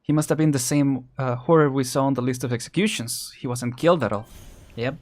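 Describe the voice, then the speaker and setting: A man's voice: medium pitch, brisk, a little mellow. A man giving casual, rambling, chuckle-prone live commentary as he streams a video game. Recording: game music behind.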